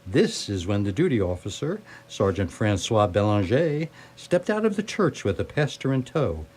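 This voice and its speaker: A man's voice: fairly low pitch, very animated, normal speed, a little mellow. A man narrating a radio play in a theatrical, wry storytelling manner.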